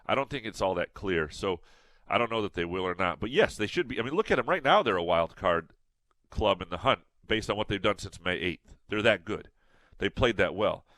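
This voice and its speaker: A man's voice: lowish voice, lively intonation, fairly quick, fairly bright tone, no room sound. A man co-hosting a sports podcast in casual chat, a little nasal, rambling with restarts and fillers. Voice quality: slightly nasal.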